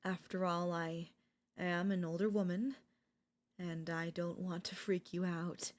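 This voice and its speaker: A woman voice actor playing an obsessive older female professor in an ASMR audio roleplay, coaxing and deliberate, with dramatic pauses. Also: on the low side, little pitch movement, natural voice. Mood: nervous, confessional.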